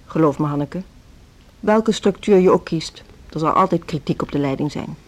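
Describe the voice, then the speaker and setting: An actress playing a seasoned, resigned music school headmistress in a radio drama, composed and patronising. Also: female voice, low voice, moderate pace. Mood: unruffled, patronising. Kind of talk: Dutch social radio drama acting.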